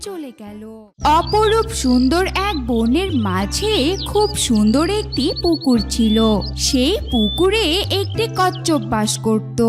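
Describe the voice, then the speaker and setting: A woman narrating a scripted animal story for children. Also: high-pitched.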